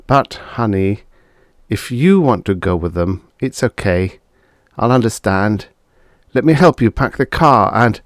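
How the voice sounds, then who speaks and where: A man's voice: on the low side, animated, measured pace, no echo, clean studio sound. A man voicing a weary, stubborn but tender husband in an audiobook story.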